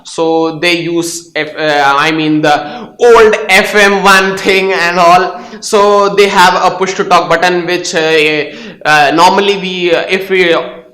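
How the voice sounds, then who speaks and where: A man's voice: high voice, sharp tone. A young Indian man with an Indian English accent, hosting a casual tech explainer video and talking hesitantly with many fillers and restarts. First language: Hindi or Tamil. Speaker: tech channel host. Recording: echoey room.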